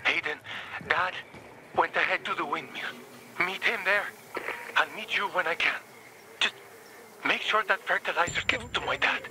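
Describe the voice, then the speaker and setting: A hunted resistance man in a video game, talking desperately over the radio. His voice is high, and clear static-like noise runs through the transmission. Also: moderate pace, pauses often, bright, forceful tone. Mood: hushed, desperate. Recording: noisy, crackly radio transmission.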